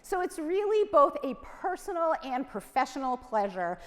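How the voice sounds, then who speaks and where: A woman with a high-pitched voice hosts a casual stage interview. She is chatty and informal, quick to banter and interject, with long reverb from a large hall. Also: big pitch swings, fairly bright tone.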